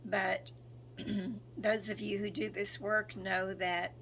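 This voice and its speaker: Hoarse woman, very slow, hesitant, clears her throat often; faint background noise. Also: mid-range voice, flat delivery, a bit bright. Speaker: spiritual channel and author. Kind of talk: casual spoken update.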